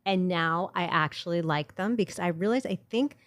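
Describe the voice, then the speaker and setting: Blunt, low-voiced podcast host woman. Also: normal speed, a bit bright, no echo. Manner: blunt, swears, jokes and laughs a lot. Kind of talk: casual chat. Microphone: studio mic held off to the side.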